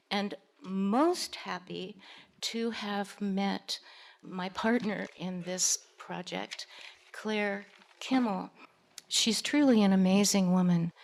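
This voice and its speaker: Older woman poet, soft and gentle, slow delivery. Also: medium pitch, vocal fry. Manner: gentle, unhurried. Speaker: poet reading at an event. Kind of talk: poetry reading with spoken introduction.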